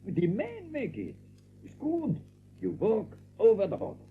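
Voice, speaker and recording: A man's voice: high voice, huge pitch swings, measured pace, heavily acted. A man voicing a boastful, irritable bird who blusters in broken English in an audio drama. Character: excitable gruff foreign-sounding bird, boastful and irritable. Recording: noisy, narrow-band old audio drama recording.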